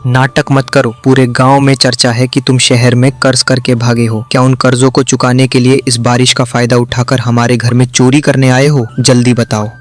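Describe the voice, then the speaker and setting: A man's voice: medium pitch, flat delivery, moderate pace, hardly any pauses, faint music behind, natural voice. A man voicing a stern, blunt householder in an emotional cartoon family drama. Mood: accusing. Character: stern suspicious householder, quick to accuse his relative. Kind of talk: dramatic cartoon story dialogue.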